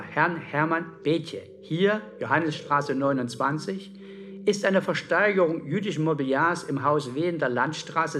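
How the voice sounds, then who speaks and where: A man's voice: a little high, fairly bright tone, a little hiss or hum, close to an everyday voice. A man playing a dry town clerk who reads an official letter precisely and formally in a documentary radio play. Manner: official, matter-of-fact, precise.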